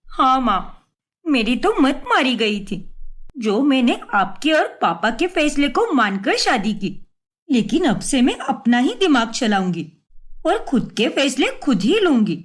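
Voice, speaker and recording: A woman's voice: high-pitched, sing-song, unhurried, exaggerated voice. A woman voicing a proud, spoiled young married woman who complains dramatically, for a story. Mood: defiant.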